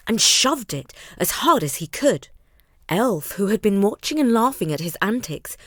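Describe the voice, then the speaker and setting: A woman narrating a bedtime story for children in an expressive, deliberate storyteller's manner. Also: medium pitch, dry recording, clean studio sound.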